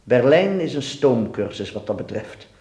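A man's voice: mid-range voice, very animated, moderate pace, warm tone, lightly acted. A man acting a worldly, melancholy dance teacher in a radio play, musing and fatherly with his pupil. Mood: tender, wistful. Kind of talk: Dutch radio drama acting. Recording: echoey room sound.

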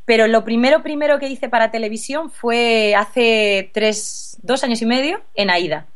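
A woman's voice: a little high, moderate pace. A woman in her thirties from Spain, answering interview questions casually with frequent laughs and restarts. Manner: relaxed, laughs often, restarts sentences. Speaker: interview guest, actress.

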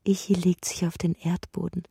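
Female literary narrator, radio drama, low voice, very dry close sound, soft, introspective, dreamlike. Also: flat delivery, creaky, breathy edge, dry recording, full character voice. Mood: brooding. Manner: measured, introspective delivery, sometimes sinking into whispers. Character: brooding literary narrator of a dreamlike inner tale.

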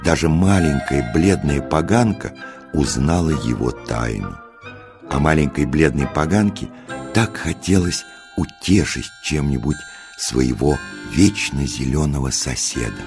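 A man narrating a scripted children's tale in an expressive, deliberate storyteller's delivery. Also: a bass voice, exaggerated voice. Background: music.

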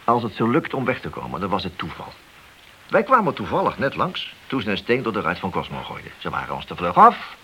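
A man's voice: lowish voice, huge pitch swings, brisk, pushed and bright, heavily acted. An actor playing an ambitious, glory-seeking policeman in a radio play, insistent and persuasive. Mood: scheming, confident. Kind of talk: radio play dialogue acting. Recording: old radio recording with hiss.

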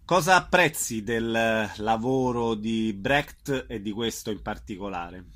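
A man's voice: on the low side, takes it slowly, fairly bright tone. A man working as an interviewer, putting brief questions to his guest.

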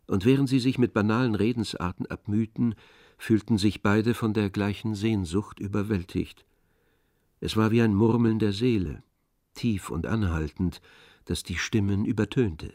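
A man narrating a literary audio drama in measured, polished storytelling phrases. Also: fairly low pitch, darkish tone, no room sound, studio quality.

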